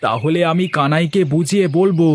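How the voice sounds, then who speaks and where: Man playing a pushy, high-voiced cartoon trickster. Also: lively intonation, a little fast. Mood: threatening. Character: cunning, pushy village trickster, crony of a schemer. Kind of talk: cartoon moral-story dialogue.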